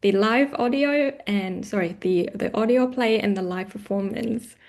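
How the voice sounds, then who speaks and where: A woman's voice: medium pitch, measured pace, darkish tone. A woman hosting an interview in a conversational style, asking questions and addressing viewers. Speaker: interviewer, host.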